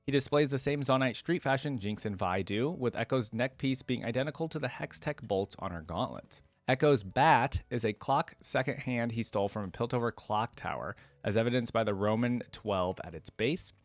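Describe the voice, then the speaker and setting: A man's voice: medium pitch, normal speed, few pauses, no room sound. A man hosting a reaction channel, reading aloud and adding casual, conversational commentary. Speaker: host of a game lore reaction channel.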